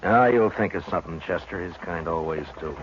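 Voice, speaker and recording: Male actor for firm but gentle American western lawman, deep and plain-spoken, weary, on a noisy, dull old radio recording. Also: a little fast, close to an everyday voice. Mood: firm, stern. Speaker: lead character and narrator. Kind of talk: 1950s radio western acting.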